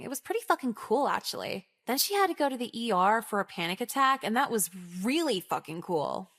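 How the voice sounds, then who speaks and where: A young woman with an American accent playing a cynical, self-absorbed high-school girl in a visual novel, talking in a casual, slangy, sardonic way. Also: lightly acted. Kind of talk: visual novel voice acting, first-person monologue.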